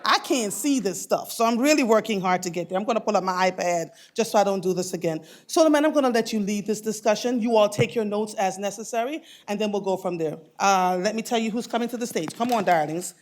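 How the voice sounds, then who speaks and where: A woman in her fifties with a boomy voice, emceeing a conference and effusively addressing the audience from the stage. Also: fast, few pauses. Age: 50s. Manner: effusive, calls people darling. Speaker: mistress of ceremonies.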